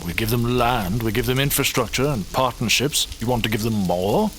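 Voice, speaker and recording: A man's voice: mid-range voice, moderate pace, fairly bright tone, slight background noise, natural voice, rough recording. An actor playing a skeptical, commanding nobleman in an audio drama, speaking in a terse, formal, unimpressed way.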